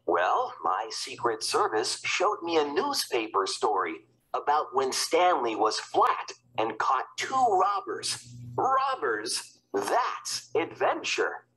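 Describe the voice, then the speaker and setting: A woman's voice: very deep voice, very animated, takes it slowly, fairly bright tone, full character voice, rough recording. A woman voicing a busy, hearty, self-assured male American president in a children's storybook, with an emphatic, explanatory manner. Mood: reassuring. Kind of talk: children's book read-aloud.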